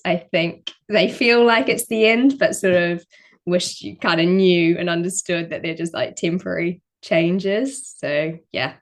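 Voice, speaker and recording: Modest young New woman. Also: fairly low pitch. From New Zealand. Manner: relaxed, modest, frequent ums and likes. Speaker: podcast guest, runner. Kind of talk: casual interview chat.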